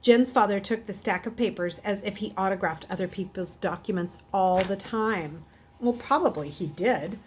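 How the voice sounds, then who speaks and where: A woman reading a children's novel aloud in an expressive way, occasionally stumbling and correcting herself. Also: medium pitch, moderate pace, a little hiss or hum. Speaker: reader.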